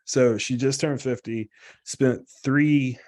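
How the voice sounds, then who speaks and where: A man's voice: mid-range voice, fairly even intonation, slow pace, a little mellow. A man, a guest coach talking casually and rambling with lots of fillers over a video call. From South Carolina, US. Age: 30s. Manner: rambling, filler-heavy, chatty and candid. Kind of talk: casual talk on a live online group lesson.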